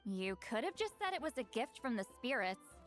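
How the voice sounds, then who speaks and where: A woman's voice: fairly high pitch, very animated, normal speed, a bit bright. A woman playing a young, teasing shaman in a video game, breezy in manner, with music behind her. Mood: casual.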